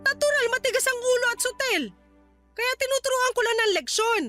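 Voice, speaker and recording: Stern, high-voiced Filipino grandmother. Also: fairly even intonation, a little fast, bright, forceful tone, faint music behind. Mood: scolding. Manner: scolding, defensive, dismissive. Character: stern, short-tempered grandmother scolding her grown daughter. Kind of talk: Filipino radio drama acting.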